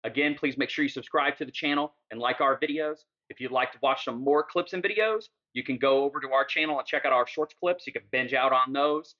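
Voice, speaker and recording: A man hosting a channel, talking casually and rambling over clips, with a raspy voice that is hoarse from a recent illness. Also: mid-range voice, a little fast, few pauses, pushed and bright, dull audio. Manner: rambling, laid-back, says dude and man. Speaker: channel host. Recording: hollow tunnel-like echo.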